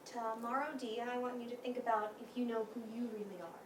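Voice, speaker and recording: A woman's voice: on the high side, steady tone, a little fast, close to an everyday voice. Lady acting firm probing shrink in a play, stern, questioning, echoey and far from the mic. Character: stern, probing female psychiatrist on night rounds. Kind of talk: staged play reading. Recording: echoey room, distant mic, clear noise.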